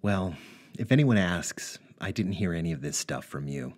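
A man voicing a dogged former police officer turned investigator, probing and dry, close to his own husky voice. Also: low voice, lively intonation, normal speed, darkish tone.

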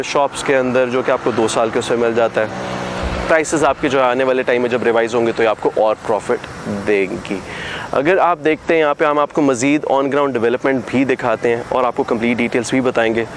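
A man in his thirties with a Pakistani Urdu accent, a real estate advisor giving a steady, explanatory walkthrough of a property site. He speaks outdoors on a lapel mic. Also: medium pitch, slight background noise. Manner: steady explanatory, gestures with hands. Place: outdoor hilltop overlooking construction site.

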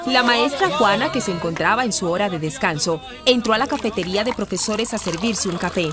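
A woman's voice: medium pitch, animated, fairly quick. A woman with a Colombian accent giving scripted narration for a radio soap. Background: music.

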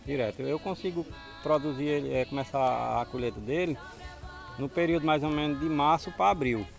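Middle-aged man, northeastern Brazilian accent, gravelly voice, plain-spoken farmer, outdoor interview, music behind. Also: fairly high pitch, a little fast. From Ceará, northeastern Brazil. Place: outdoors on a farm. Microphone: handheld mic with furry windscreen.

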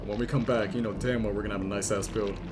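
A hoarse young man giving loud, profane commentary over his own gameplay, muttering one moment and yelling the next. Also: lowish voice, steady tone, fast, noisy background. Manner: swings from muttering to yelling, swears a lot. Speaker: gaming YouTuber. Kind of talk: excited live gameplay commentary.

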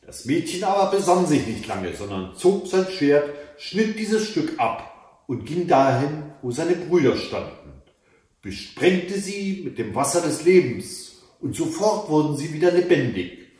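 A man in his fifties reading a fairy tale aloud in a measured, dramatic way with deliberate pauses. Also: on the high side, very animated, darkish tone. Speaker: storyteller reading fairy tales. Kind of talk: expressive read-aloud fairy tale narration. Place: indoors, book-lined room at home. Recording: echoey room, mic at a distance.

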